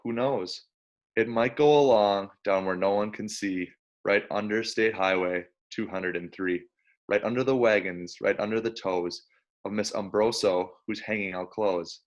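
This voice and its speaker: Low-voiced young man, slightly dull sound. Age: early 20s. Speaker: college basketball player, guest. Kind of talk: casual chat and reading a children's storybook aloud.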